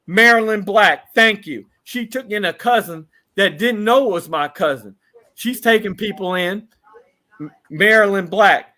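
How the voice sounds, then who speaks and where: Man, fifties, high bright voice, casual interview guest, reminiscing. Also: a little slow. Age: 50s. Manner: reminiscing, rattling off shout-outs. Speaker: podcast guest.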